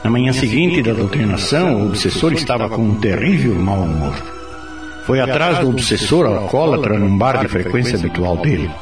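A Brazilian man playing a patient, authoritative spirit mentor in a radio drama, explaining things in a didactic, expressive way. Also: lowish voice, normal speed, lightly acted. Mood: storytelling. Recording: roomy, distant, music behind.

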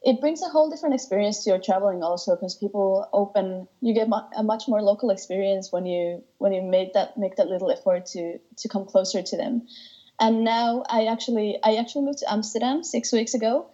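Enthusiastic young woman from Finland, mellow tone. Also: mid-range voice, fairly quick, muffled recording. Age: 20s. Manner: enthusiastic, with frequent restarts and light laughs. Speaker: guest. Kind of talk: casual interview chat.

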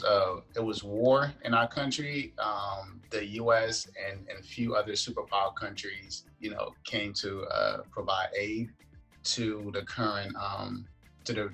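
A man from Liberia who moved to the United States as a child, answering as a podcast guest in a halting, word-searching way. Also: lowish voice, creaky, fairly bright tone, rough recording. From Liberia, moved to the United States at five. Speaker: podcast guest, events entrepreneur. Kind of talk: interview answers.

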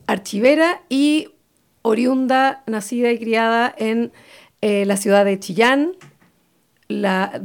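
A woman's voice: mid-range voice, creaky. A woman with a Chilean Spanish accent hosting informal radio, speaking unscripted and hesitantly as she searches for words. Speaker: radio host.

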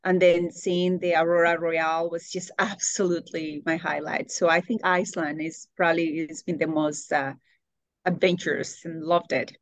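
A woman, answering interview questions warmly and pausing to search for words. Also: sits low, steady tone. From Colombia. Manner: warm, searching for words, laughs often. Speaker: guest.

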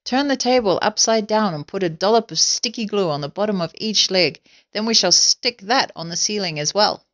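A woman voicing a bossy, excitable male monkey ringleader in a storybook, giving urgent orders. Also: medium pitch, normal speed, muffled recording, lightly acted. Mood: excited, hurried. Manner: urgent, shouted commands.

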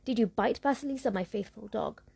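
Woman reading as a gruff, demanding old witch, husky and gravelly. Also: fairly high pitch, fairly quick, warm tone.

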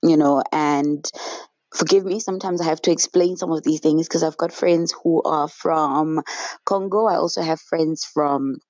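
Low-voiced woman, fluey. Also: steady tone, normal speed, darkish tone, dry recording, muffled recording. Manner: chatty, upbeat, storytelling with faith references. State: fluey and short of breath. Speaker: vlogger. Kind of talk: casual inspirational talk to camera.

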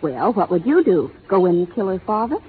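A woman's voice: on the low side, very animated, brisk, husky, full character voice. A woman acting a proud, independent young frontier schoolteacher in a 1930s radio drama, speaking in a proper, theatrical manner. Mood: teasing, challenging. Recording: narrow, fuzzy 1930s radio broadcast.

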